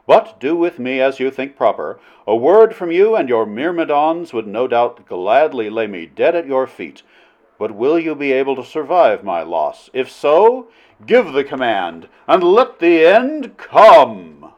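A man's voice: mid-range voice, very animated, measured pace, highs cut off, voice pushed up. A man voicing a bold, cunning adventurer in an old romance audiobook, impassioned and formal in speech. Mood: ardent, daring. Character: bold, cunning adventurer hero wooing a princess.

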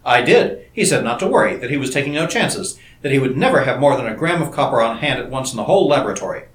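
A man's voice: medium pitch, animated, fast talker, close to an everyday voice. A man voicing a shaken male chief chemist who speaks dully and defeatedly. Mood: dull. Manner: dull and defeated. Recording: roomy echo.

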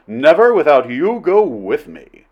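A man's voice: medium pitch, very animated, moderate pace, highs cut off, lightly acted. A man voicing a bold, cunning adventurer in an old romance audiobook, impassioned and formal in speech. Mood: passionate, urging. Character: bold, cunning adventurer hero wooing a princess.

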